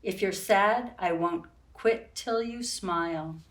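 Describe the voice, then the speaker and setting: A woman reads to young children in an expressive, unhurried storytime style. She speaks directly to her little listeners as a librarian host would. The recording is roomy and echoing, with her voice some way from the mic. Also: medium pitch. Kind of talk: children's storytime read-aloud with sing-song rhymes. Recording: roomy echo, distant from the mic.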